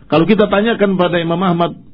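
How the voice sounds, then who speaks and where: Male Islamic preacher, raspy voice, instructive and emphatic lecture delivery, muffled narrow-band sound. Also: high-pitched, steady tone, quick pace. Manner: didactic, emphatic, with Arabic phrases and rhetorical questions. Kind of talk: religious lecture. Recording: dull, muffled lo-fi lecture recording.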